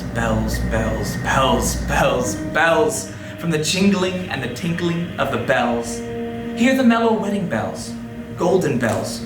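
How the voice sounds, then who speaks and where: A stage performer recites verse in a theatrical, deliberate way, at a very slow pace with emphatic pauses. The voice sits at a middle pitch in an echoing room. Music plays clearly behind it. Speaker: stage performer reading poetry. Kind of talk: dramatic poetry recitation. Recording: roomy echo, loud music.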